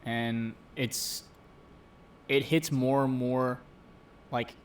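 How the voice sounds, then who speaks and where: A man's voice: mid-range voice, little pitch movement, very slow. A man co-hosting a live-streamed chat show, earnestly retelling a film plot in a casual way with many fillers. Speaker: co-host. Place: home streaming setup.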